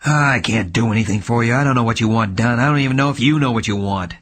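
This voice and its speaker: A man reading an audiobook, voicing an impatient private detective who speaks curtly and irritably, loud with anger. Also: mid-range voice, fast talker, slightly rough. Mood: irritable. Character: impatient hard-boiled male private detective.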